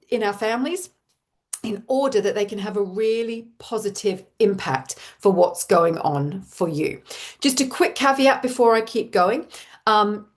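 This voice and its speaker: An Australian woman in her forties talks parents through an online workshop. Her tone is warm and reassuring, and she keeps it chatty, with the odd um and restart. Her pitch sits in an ordinary middle range. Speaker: parenting coach.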